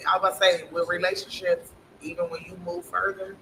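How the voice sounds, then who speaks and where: A woman in a casual group discussion with a deep, aggressive-sounding voice, talking bluntly and insistently with plenty of swearing. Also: little pitch movement, quick pace, pushed and bright. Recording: distant mic with clear background noise.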